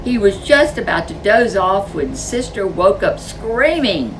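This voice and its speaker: A woman's voice: mid-range voice, very animated, measured pace, far from the mic, heavy background noise. A woman reading a children's storybook expressively as a storyteller. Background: music.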